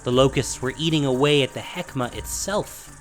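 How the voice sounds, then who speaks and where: Male narrator, scripted dramatic fantasy storytelling, mid-range pitch, faint background music. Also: moderate pace.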